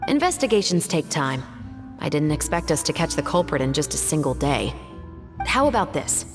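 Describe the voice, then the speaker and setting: A woman playing a composed, serious investigator and patrol captain in a video game, speaking in a measured, articulate way. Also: deep voice, big pitch swings, over music. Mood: measured, thoughtful.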